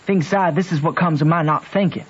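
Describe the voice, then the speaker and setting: An earnest backwoods boy with a high voice and a Southern American drawl. He talks in folksy, rustic grammar as he narrates and acts in a radio play, wrestling with his conscience. The sound is a dull old radio recording. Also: brisk, husky. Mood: troubled. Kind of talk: old-time radio drama, first-person narration and dialogue.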